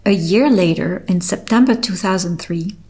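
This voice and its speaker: A middle-aged woman with a French accent, a French native speaker from Switzerland, reading her own personal essay aloud in a slow, measured, earnest way. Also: medium pitch, mellow, slightly rough. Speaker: author reading her article.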